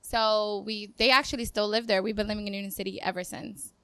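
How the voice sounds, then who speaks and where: A woman's voice: medium pitch, fairly even intonation, brisk, fairly bright tone, clean tone, no room sound. A woman in her twenties from Cuba, a dancer chatting casually as a podcast guest in an upbeat, talkative way. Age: 20s. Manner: upbeat, chatty, laughs easily. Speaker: guest, dancer and business owner. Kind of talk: casual interview talk.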